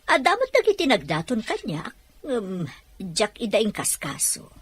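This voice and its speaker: Husky, wistful older sister acted by a woman. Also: fairly high pitch, huge pitch swings, unhurried, full character voice. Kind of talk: Ilocano audio drama acting.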